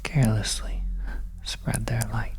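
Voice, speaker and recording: An ASMR performer of unclear sex playing a bleak, weary narrator of a desolate world in a halting, hushed whisper over oppressive ambience. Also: medium pitch, fairly even intonation, lightly acted. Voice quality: whispery, soft. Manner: halting, hushed, drawn-out phrasing. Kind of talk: slow whispered horror ASMR monologue. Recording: oppressive ambient noise behind voice.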